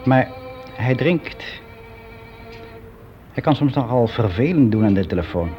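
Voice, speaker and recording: An actor playing a weary, resigned office clerk around sixty, confiding in a halting, reflective way in a naturalistic radio play. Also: male voice, mid-range voice, lively intonation, soft background music, lightly acted. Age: 60s. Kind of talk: naturalistic radio drama monologue. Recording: dull, noisy radio play recording.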